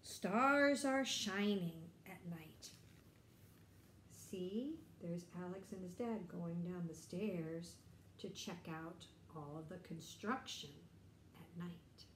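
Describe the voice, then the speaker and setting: A middle-aged woman reading a picture book aloud to children, explaining and asking simple questions in an animated way. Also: fairly low pitch, slow, roomy sound, a little hiss or hum. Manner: animated, explanatory, addressing young listeners. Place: bright indoor room.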